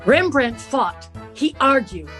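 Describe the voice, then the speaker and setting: A woman's voice: high-pitched, very animated, slow, a bit bright, full character voice. An actress playing an arch, melodramatic female narrator in a theatrical radio play. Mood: mock dramatic. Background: music.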